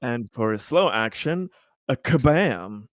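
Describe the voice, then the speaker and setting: A man with a mid-pitched voice chats casually as a player in a tabletop roleplaying game. He narrates his character's moves off the cuff. The sound is close and dry, with no room echo. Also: expressive, slow.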